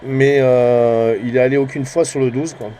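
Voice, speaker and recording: A man's voice: mid-range voice, steady tone, normal speed, warm tone, slight background noise. A man giving a casual, rambling hobbyist vlog commentary, hesitant and often trailing off with little tag words. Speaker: amateur CB radio hobbyist and channel host.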